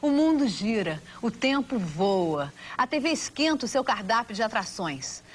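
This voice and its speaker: A woman's voice: fairly high pitch, big pitch swings, measured pace, slight background noise. A woman narrator reading a scripted television documentary.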